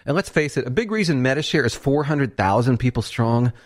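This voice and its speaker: A man reads a radio commercial in an earnest, persuasive tone. His voice sits at an ordinary middle pitch. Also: expressive, a little fast. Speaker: commercial announcer. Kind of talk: scripted radio ad read.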